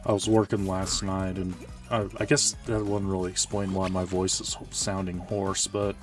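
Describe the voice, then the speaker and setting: A male streamer chatting casually while playing a game, rambling and correcting himself, sounding tired and a little hoarse. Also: little pitch movement, normal speed, distant mic, faint music behind. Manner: rambling, self-correcting, low-key. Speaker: Twitch streamer. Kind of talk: casual live-stream gaming chat.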